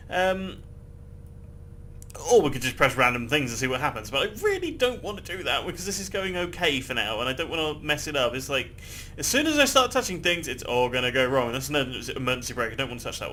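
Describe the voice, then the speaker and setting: Bright-voiced man rambling through gameplay. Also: medium pitch, sing-song, a little fast, slight background noise. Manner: rambling and chatty, swears casually, laughs often. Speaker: gameplay video host. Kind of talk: casual gameplay commentary.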